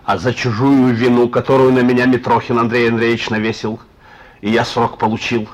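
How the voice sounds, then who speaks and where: A man's voice: medium pitch, little pitch movement, fairly quick, natural voice. A male actor in a radio play as a sullen, wry young thief, curt and slangy, on a muffled recording. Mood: wry, needling. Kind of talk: Russian radio play acting.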